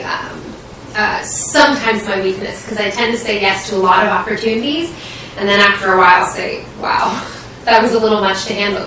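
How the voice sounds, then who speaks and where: Echoey, bright-voiced young American woman. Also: medium pitch. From Washington State, United States. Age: young adult. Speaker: medical student interviewing for residency. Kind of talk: interview answers. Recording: roomy echo, distant mic, faint noise.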